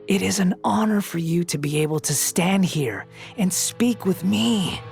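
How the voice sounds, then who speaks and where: An actor playing an arrogant, youthful villain who thinks himself a new god, speaking in haughty, mocking game monologue. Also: male voice, sits high, animated, a little slow, gravelly, darkish tone, soft background music, full character voice. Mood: condescending.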